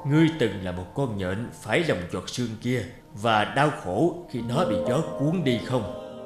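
A man voicing a wise old deity in an animated fairy tale, solemn, measured and lecturing, stern yet compassionate. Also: medium pitch, natural voice. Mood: wise, admonishing. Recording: roomy echo over guitar music.

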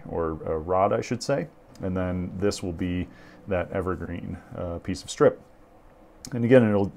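A man hosting a hobby workbench video, narrating in a relaxed, thinking-aloud way with frequent "uh" fillers. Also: deep voice, a little hiss or hum. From United States. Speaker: model railroad hobby host. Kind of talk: relaxed tutorial narration over workbench footage. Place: home workbench.

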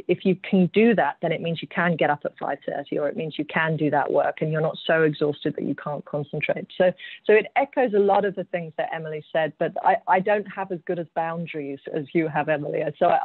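A woman's voice: low-pitched, no echo. A woman with an English accent, a mining executive talking earnestly on a panel and often repeating words as she thinks. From United Kingdom. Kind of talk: panel discussion over video call. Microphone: video call. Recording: tinny, phone-like call audio.

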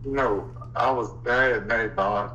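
A man answering questions on a phone call, giving plain, compliant and halting answers. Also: medium pitch, sharp tone. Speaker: prank call recipient. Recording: dull distant phone line, faint noise.

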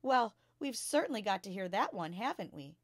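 A woman's voice: medium pitch, big pitch swings, moderate pace, no echo, pitched above the performer's own voice. Woman reading as a kind young schoolteacher lady, encouraging questions, storybook style, close dry recording. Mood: surprised, eager. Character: kind, gentle young female primary school teacher.

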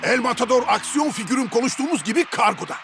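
An excitable football commentator in a cartoon. He pitches his voice very high and swings it widely. The calls are loud and dramatic, with very loud music behind. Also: fast. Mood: excited.

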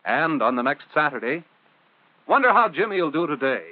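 An American man narrates a mid-century educational film with a high-set, very lively voice. He explains things simply and chats straight to a young listener. The sound is dry and narrow, like an old film track played down a phone. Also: moderate pace, no echo. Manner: conversational, addressing the child directly; simple, explanatory phrasing for children. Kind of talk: 1950s instructional film narration.

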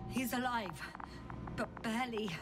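An actress playing a determined woman scientist astronaut in a video game, speaking naturalistically in a halting, breathy murmur, often as if to herself. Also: a little high, fairly even intonation, very noisy, close to an everyday voice. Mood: urgent, worried.

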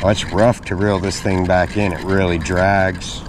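A middle-aged man with a fairly low voice talks casually to his camera on an open pier. He sounds low-key, thinking aloud as he fishes, with clear outdoor noise around him. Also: level tone, unhurried. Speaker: angler narrating own fishing outing. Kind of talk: casual vlog commentary.

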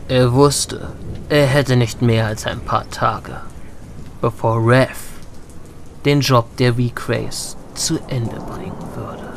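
A man's voice: medium pitch, steady tone, takes it slowly, creaky, slight background noise. A man giving dramatic, scripted narration for an audio drama. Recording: loud music under the voice.

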